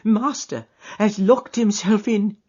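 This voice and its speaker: A man voicing an anxious, tearful old housekeeper woman in a high, fretful voice. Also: slow pace, warm tone, husky, muffled recording, heavily acted.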